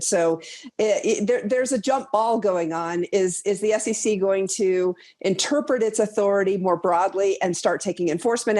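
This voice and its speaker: A woman commentator explaining her analysis in a measured, conversational interview style. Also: mid-range voice, darkish tone.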